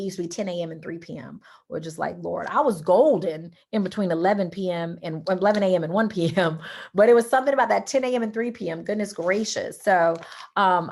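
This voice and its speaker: Raspy Southern woman, chatty and hoarse. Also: fairly low pitch. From Louisiana. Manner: chatty, lots of fillers, says y'all. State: hoarse from talking too much. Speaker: supplement seller presenting on a call. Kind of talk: casual sales presentation. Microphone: video call.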